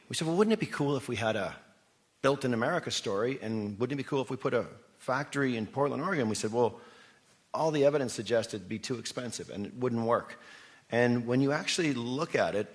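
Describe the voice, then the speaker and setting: A man's voice: medium pitch, fairly bright tone. A man speaking as an executive guest in a live radio interview, telling stories in a conversational, enthusiastic and persuasive way. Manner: enthusiastic, persuasive, poses rhetorical questions to listeners.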